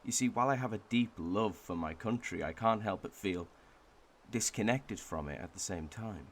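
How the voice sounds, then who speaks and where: A man playing a wistful Welshman, delivering a pensive, measured monologue in a radio drama. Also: lowish voice. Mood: melancholy, conflicted. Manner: pensive, measured, with thoughtful pauses. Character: wistful Welshman reflecting on his home village and heritage.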